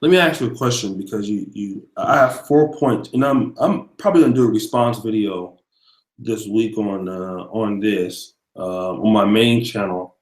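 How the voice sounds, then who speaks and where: A man hosting a casual video interview, asking long questions with many pauses and fillers. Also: lowish voice, echoey room. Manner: thanks viewers mid-question, hesitant fillers. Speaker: host.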